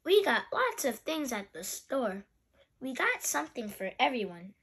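Little girl pig for a storybook, woman performing: childlike, eager, proud, high and lively. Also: unhurried, husky, exaggerated voice.

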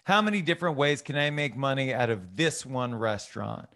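A man's voice: medium pitch, fairly quick, bright, forceful tone. An American man in his thirties hosting an interview, asking questions conversationally into a close studio mic. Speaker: host, interviewer. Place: indoor home studio. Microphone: studio mic on boom arm, close.

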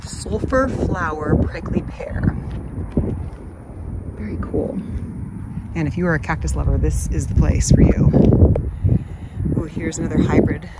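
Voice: mid-range voice, a little slow, pauses often. A vlogger giving a casual walking tour outdoors, naming things along the way in an admiring, exclaiming manner. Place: outdoors in a desert garden. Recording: loud outdoor ambience and vehicle noise.

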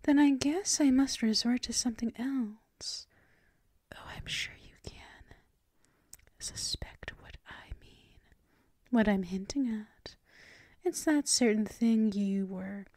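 Slow, soft-spoken woman in intimate ASMR. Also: on the high side, no room sound. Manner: soothing, teasing, affectionate, murmured and drawn out. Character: gentle, doting, teasing dominant girlfriend. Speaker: ASMR roleplay performer. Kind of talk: intimate ASMR roleplay, often whispered. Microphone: close microphone.

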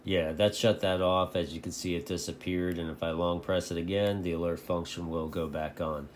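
A man vlogging casually about his hobby in a folksy, halting, repetitive way. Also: low voice, fairly even intonation. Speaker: video host, radio hobbyist. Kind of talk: casual rambling vlog talk. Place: indoor home radio shack. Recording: clearly noisy home recording.

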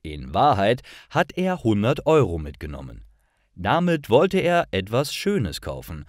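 Deep, very expressive, slow male storyteller. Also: no room sound, clean studio sound. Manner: deliberate, carefully phrased. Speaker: narrator of stories for language learners. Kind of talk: scripted storytelling for learners.

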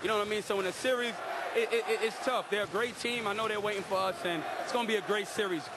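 Young man, basketball player, excited, boastful, noisy arena crowd. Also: sits high, fast talker. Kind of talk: post-game interview. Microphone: handheld microphone held by interviewer. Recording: loud arena crowd noise.

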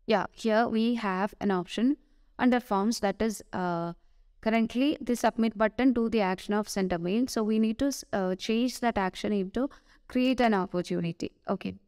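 A woman with an Indian English accent giving a screen-recorded software tutorial, hesitant, with many "uh" fillers and self-corrections. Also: mid-range voice, moderate pace, clean tone, no room sound, studio quality.

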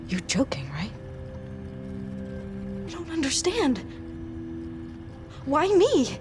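High-pitched, emotional teenage girl. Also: huge pitch swings, full character voice. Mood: anxious. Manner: emotional, halting, pleading and protesting. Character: upset, defiant teenage girl test subject. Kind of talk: dramatic video game acting. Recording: music under the voice.

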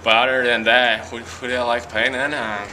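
A young man from Latvia with a Latvian accent, a college hockey player giving a halting post-game interview full of fillers and restarts in an arena hallway. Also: mid-range voice, little pitch movement, pushed and bright, a little hiss or hum. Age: young adult.

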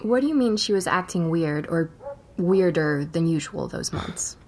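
A woman's voice: lowish voice, expressive, a little mellow, breathy edge. An actress playing a curious young woman journalist with a Spanish accent who asks probing questions. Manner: probing questions, brisk narration. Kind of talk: audio drama acting.

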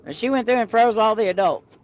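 A woman hosting a live stream over a video call, telling stories in a chatty, animated way and laughing as she goes. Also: fairly high pitch, fairly quick. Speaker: host of a paranormal live stream. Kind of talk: casual storytelling chat. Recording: slightly muffled video-call audio.

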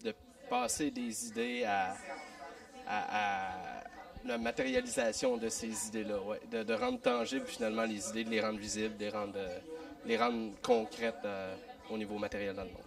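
A man with a very gravelly voice answers questions with halting restarts. His pitch swings widely. He sits back from the mic, with crowd chatter behind him. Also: huge pitch swings, far from the mic. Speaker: vox pop participant. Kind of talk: casual street interview. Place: busy community workshop space indoors. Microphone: handheld mic.

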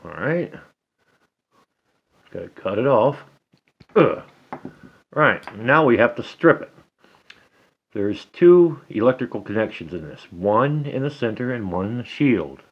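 A middle-aged man giving a casual hands-on repair tutorial at a table indoors, talking slowly and haltingly, half to himself as he works. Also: mid-range voice, sing-song, slightly rough, dull audio. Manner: slow, halting, talks to himself while working. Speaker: DIY hobbyist demonstrating a repair.

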